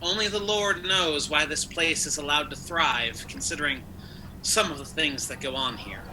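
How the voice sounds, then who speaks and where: A woman's voice: deep voice, moderate pace, lightly acted. A woman playing an anxious, humbly polite householder in a radio play, hesitant and deferential. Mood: uneasy. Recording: noisy home video-call recording.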